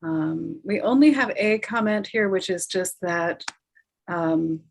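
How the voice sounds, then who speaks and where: A woman hosts an online talkback in an informal way. Her phrasing is measured and halting, with pauses as she works toward each point. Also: lowish voice, vocal fry, slight background noise. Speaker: talkback host. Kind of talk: informal hosting of an online panel.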